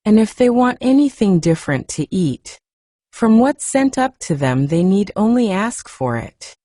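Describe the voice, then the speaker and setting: Lady doing exasperated housekeeper, complaining, storybook style, swoopy pitch, very dry close sound. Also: sing-song, a little slow, dark tone, dry recording. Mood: matter-of-fact. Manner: complaining, dialect-laden. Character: indignant, exasperated housekeeper woman, plain-spoken.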